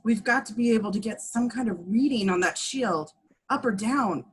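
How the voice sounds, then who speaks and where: A woman playing an urgent, brisk rebel pilot commander in a film script table read. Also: on the high side, slightly rough. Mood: tense.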